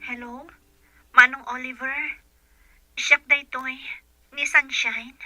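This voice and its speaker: A woman's voice: high-pitched, little pitch movement, takes it slowly, pushed and bright, dry recording, exaggerated voice, low-quality recording. A woman acting a young woman phoning a friend for help in a radio drama, with an Ilocano Filipino accent. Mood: friendly, tentative.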